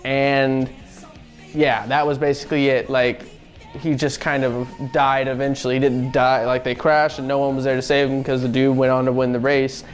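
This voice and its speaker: A man's voice: mid-range voice, little pitch movement, moderate pace. A man co-hosting a gaming show, giving casual, opinionated film commentary in a slangy, ranting way. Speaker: co-host of a gaming review show. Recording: music playing under the voice. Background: music.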